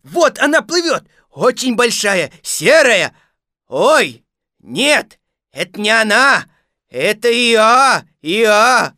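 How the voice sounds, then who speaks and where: Woman reader doing a slow puzzled male teddy bear, good-natured, bright tone, lively pitch. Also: no echo, studio quality, heavily acted. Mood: cheerful. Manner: slow, hesitant, puzzled talk.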